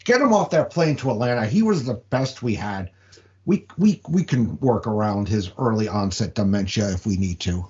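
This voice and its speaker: A man's voice: on the low side, animated, darkish tone, highs cut off, close to an everyday voice. A man playing a weary, exasperated wrestling promoter. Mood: resigned. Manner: halting, sighing, exasperated. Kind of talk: comedic audio play acting.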